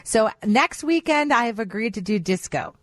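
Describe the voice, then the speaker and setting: High-voiced woman hosting live radio, dry studio. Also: lively intonation, moderate pace, no room sound. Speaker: radio show co-host running a music quiz. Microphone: close studio microphone.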